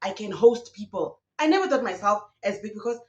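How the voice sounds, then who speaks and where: A woman's voice: medium pitch, expressive, fairly quick, reverberant, muffled recording. A young woman YouTuber, ranting passionately in casual commentary, recorded alone in a room. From South Africa. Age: young adult. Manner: passionate, ranting, mixing in local slang.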